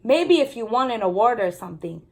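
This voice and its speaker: A bossy young big sister from a storybook, read out by a woman, blunt and careless, talking like a know-it-all in a fairly high voice. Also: expressive, a little fast. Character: bossy, dismissive older sister, a young girl.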